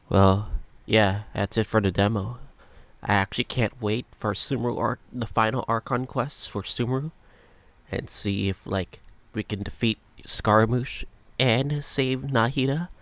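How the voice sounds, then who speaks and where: A man's voice: on the low side, steady tone, unhurried, a bit bright, no room sound. A man hosting a reaction video, giving casual commentary. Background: music.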